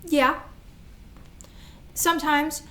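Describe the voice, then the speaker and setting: A woman playing an anxious, confused little girl with a hesitant, halting delivery in a staged drama reading. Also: high voice, fairly even intonation, pushed and bright, heavily acted. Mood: guilty, distressed. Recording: roomy, echoing stage recording.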